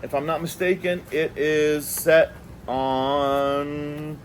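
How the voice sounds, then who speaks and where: A man giving a casual hands-on product review, talking in a halting way and repeating words as he goes. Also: fairly high pitch, level tone, a little hiss or hum.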